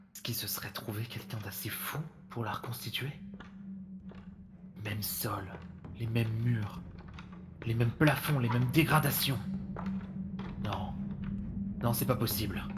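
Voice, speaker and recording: A man plays a troubled, bewildered adventurer in an audio drama. He speaks in agitated, halting questions, haunted by his memories. Music plays clearly behind the voice. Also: medium pitch, fairly even intonation, natural voice. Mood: stunned, murmuring.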